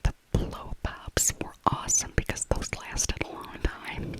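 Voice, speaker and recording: Whispering American woman, ASMR style. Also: unhurried. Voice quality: soft breathy whisper. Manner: nostalgic, chatty, repeats phrases. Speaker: ASMR video creator. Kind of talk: whispered ASMR shopping voiceover, casual rambling.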